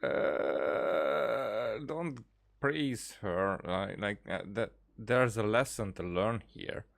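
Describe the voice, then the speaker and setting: A man's voice: lively intonation, very slow, vocal fry, no echo. A man giving casual, dry, hesitant commentary as he plays a video game. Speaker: game streamer. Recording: faint background rumble.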